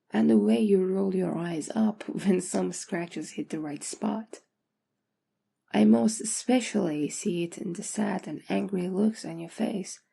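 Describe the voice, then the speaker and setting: A woman performs a possessive female owner in an ASMR roleplay, coaxing and teasing a captive pet. Her voice is very dark and mellow, with heavy creak, and often drops toward a whisper. She takes her time, sounding condescending and doting at once. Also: mid-range voice, heavily acted.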